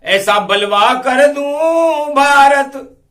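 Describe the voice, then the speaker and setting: High, slow-chanting male religious storyteller. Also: expressive, a bit bright. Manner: declamatory, drawn-out verse lines broken by quick asides. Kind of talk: devotional storytelling, half-sung rhyming verse mixed with spoken explanation.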